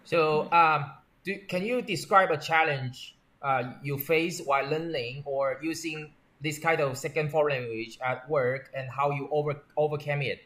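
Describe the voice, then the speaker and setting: Higher-voiced man asking halting questions. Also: fairly bright tone. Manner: halting, restarts and rephrases questions. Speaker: interviewer. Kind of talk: structured interview questions.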